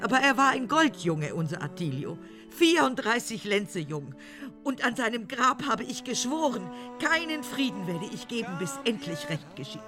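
A woman's voice: medium pitch, natural voice. An actress playing an older mother grieving a murdered son, solemn, accusing and emphatic, in a radio drama.

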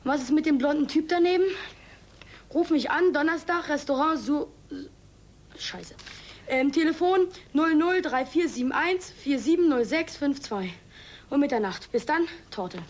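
A woman's voice: sits high, expressive, normal speed. A woman playing a brash, cheeky, eager child in a children's audio drama, talking bluntly and colloquially. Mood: excited, reading. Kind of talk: children's radio play acting.

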